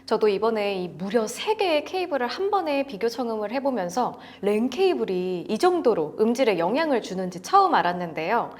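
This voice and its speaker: Lady co-hosting a gear review, super lively intonation, chatty, giving her impressions. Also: fairly high pitch, brisk, hardly any pauses. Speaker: co-host of an audio gear review show. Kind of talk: conversational product review.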